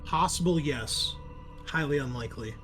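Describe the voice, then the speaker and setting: A man explaining a tabletop roleplaying scenario as game master, speaking in a hesitant, halting way. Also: a little high, a bit bright. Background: background music.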